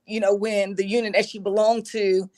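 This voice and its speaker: A middle-aged woman with a raspy voice, speaking earnestly and reflectively as an interview guest, with many fillers. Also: medium pitch, level tone, normal speed, darkish tone. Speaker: guest, executive communication advisor. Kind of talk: conversational interview.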